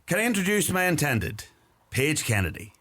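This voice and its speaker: An actor playing a polite grown son come home, in a radio play. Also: male voice, a little high, big pitch swings, moderate pace, a bit bright, husky, full character voice. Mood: warm. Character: returning elder son, polite and homecoming.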